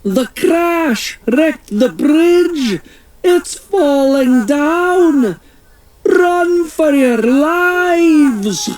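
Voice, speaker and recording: A storybook reader voicing a frantic, friendly lake monster shouting out warnings. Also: high voice, lively intonation, drawn-out words, voice pushed up, full character voice. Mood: urgent.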